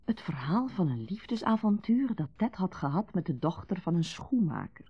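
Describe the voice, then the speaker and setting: A woman's voice: on the low side, very animated, normal speed, husky, no echo, heavily acted. A woman narrating a radio drama in a scripted storytelling style. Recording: dull, dry old radio recording.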